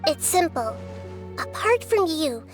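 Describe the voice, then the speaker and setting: A woman voicing a small, girlish goddess of wisdom in a game, speaking calmly and reasoning aloud. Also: high voice, soft background music, heavily acted. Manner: calm, measured, reasoning aloud. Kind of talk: game cutscene voice acting.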